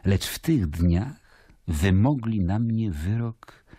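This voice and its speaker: Weary roman governor in a radio drama, low dark husky voice, measured and troubled. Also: male voice, lively intonation, no echo, clean studio sound, heavily acted. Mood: troubled, reflective. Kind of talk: dramatic first-person narration in a radio play.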